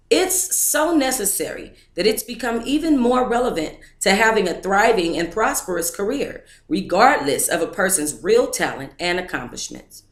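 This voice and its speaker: Woman host reading a book aloud to a live audience, chatty between passages, mid-pitched, in an echoey room. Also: fairly bright tone. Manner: casual, talks straight to viewers between read passages.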